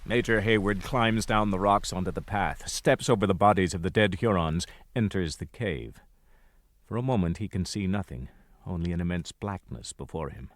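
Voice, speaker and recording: A man's voice: deep voice, moderate pace, no room sound, lightly acted. A man narrating a radio drama as a grave, dramatic storyteller of a frontier adventure. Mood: suspenseful.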